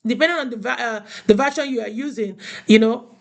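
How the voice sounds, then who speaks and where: Woman from Nigeria, high voice, motivational talk, preaching, repeats phrases for emphasis. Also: a little fast, gravelly. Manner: asks listeners "do you understand?". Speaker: host of a women's motivational show.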